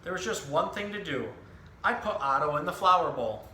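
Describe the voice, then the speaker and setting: A man's voice: on the high side, animated, normal speed, sharp tone. A man hosting an educational animal storytime, explaining and reading simply for children. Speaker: zookeeper and storytime host. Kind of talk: casual animal education talk and children's picture book reading. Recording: roomy echo with some background noise.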